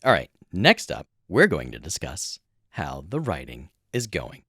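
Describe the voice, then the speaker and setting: A man with a low voice co-hosts a podcast in casual conversation. He is chatty and jokey as he explains things step by step, and the sound is dry and close. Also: wildly swooping pitch, a little slow, pushed and bright, no echo. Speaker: podcast co-host, audio editor.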